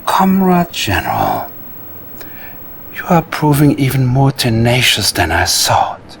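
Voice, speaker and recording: An actor playing a sinister, cunning Soviet psychic mastermind in a game cutscene, silky and menacing, with a Russian accent. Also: male voice, mid-range voice, wildly swooping pitch, takes it slowly, a bit bright, slight background noise, full character voice. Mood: falsely flattering. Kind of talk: theatrical game cutscene acting.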